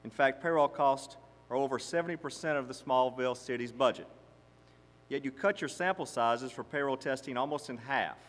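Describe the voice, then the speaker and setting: Male, acting as a board examiner at a hearing. Medium pitch, deliberate and probing, long room reverb. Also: a bit bright, close to an everyday voice. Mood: stern, probing. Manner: formal, deliberate, pointed. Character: probing male board member questioning an auditor. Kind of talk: amateur dramatization read on stage. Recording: echoey hall, long reverb.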